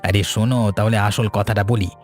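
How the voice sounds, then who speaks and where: A man's voice: lowish voice, steady tone, fast, soft background music, lightly acted. A man playing an irritable, bossy young village son in a cartoon, scolding and exasperated. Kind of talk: Bengali cartoon voice acting.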